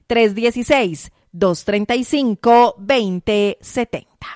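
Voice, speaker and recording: A Colombian woman hosting a radio segment and delivering a sales pitch for health products. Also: slow pace, darkish tone, dry recording, studio quality.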